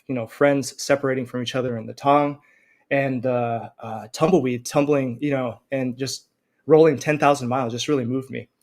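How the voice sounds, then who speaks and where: An American man in his thirties, a scholar answering interview questions in a friendly, measured way. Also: mid-range voice. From United States. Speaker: guest scholar.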